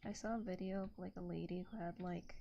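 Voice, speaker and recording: A woman livestreamer chatting casually and gently, rambling softly and at times slipping toward a whisper. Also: lowish voice, steady tone, fairly quick, gravelly, lo-fi sound, a little hiss or hum. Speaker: livestreamer, host of an art stream.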